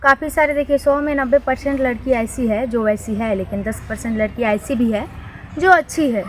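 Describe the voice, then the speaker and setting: A woman's voice: a little high, normal speed, slight background noise, low-quality recording. A young woman with a Bihari, Bhojpuri-tinged accent, speaking emphatically as an interview guest and repeating phrases for effect. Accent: Bihari, Bhojpuri-influenced. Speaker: interview guest, comic poet and social media creator. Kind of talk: informal interview with recited satirical verse.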